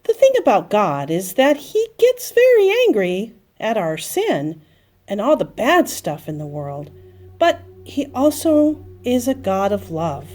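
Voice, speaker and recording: A woman telling a Bible story to young children, explaining in simple phrases with dramatic pauses and emphasis. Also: mid-range voice, wildly swooping pitch, darkish tone.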